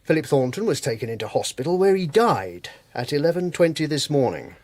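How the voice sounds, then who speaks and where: A blunt, knowing detective inspector in a radio drama. His husky voice moves widely in pitch as he speaks in a deliberate, colloquial way, recorded close and dry. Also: sing-song, a little mellow, no echo. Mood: grave, probing.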